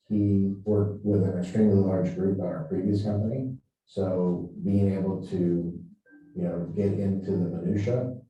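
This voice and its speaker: A man's voice: deep voice, dark tone. A man speaking as a company's chief operating officer in an informal promotional interview. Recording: dull, very echoey, distant playback.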